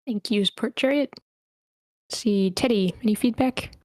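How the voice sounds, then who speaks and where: A woman's voice: fairly high pitch, moderate pace, a little mellow, dry recording. A woman moderating a group discussion, thanking participants and calling on the next to speak. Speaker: moderator of a table read meeting.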